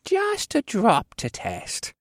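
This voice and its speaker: A man's voice: very high voice, wildly swooping pitch, slow, no echo, clean studio sound, voice pushed up, full character voice. A man voicing a frail but spry old male quartermaster, amused, patient and instructive, in an audiobook. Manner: chuckling, instructive. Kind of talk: audiobook character dialogue.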